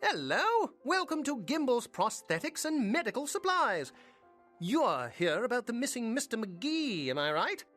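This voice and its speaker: A fussy, unsettling little gentleman shopkeeper from a video game. His oily politeness swoops up and down in fluttery hesitations, with faint music underneath. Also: extremely high pitch, big pitch swings, unhurried, full character voice. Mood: fussily welcoming. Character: fussy, creepy male prosthetics shop owner, politely obsessive. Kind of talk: video game character acting.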